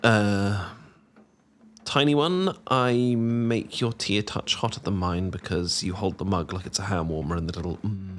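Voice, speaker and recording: A young man with a British accent performs an intimate roleplay as if chatting to someone close. His delivery is playful and affectionate, with gentle teasing. He often hesitates bashfully, which makes the scripted lines sound like casual conversation. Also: on the low side, normal speed.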